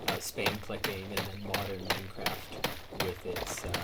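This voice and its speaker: A man, sick and raspy-voiced, casually commenting on a game as he plays, rambling with many ums and likes. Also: sits low, flat delivery, a little slow, very noisy. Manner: rambling, hesitant, lots of um and like. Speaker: gaming YouTuber. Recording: quiet voice under loud game music. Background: loud game music.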